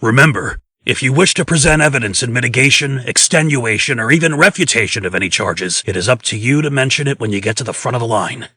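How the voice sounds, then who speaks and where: A man playing a brisk, officious male trial clerk with a raspy voice in a comic dub. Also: mid-range voice, lively intonation, sharp tone, no room sound, clean studio sound.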